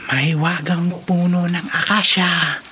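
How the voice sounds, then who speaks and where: A woman's voice: lowish voice, fairly even intonation, slow pace, pushed and bright, a little hiss or hum. A woman playing a brave, knowing boy who guides others in a horror radio drama, earnest and assured. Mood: solemn, incantatory. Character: brave, knowing youth Mark, protective guide against spirits.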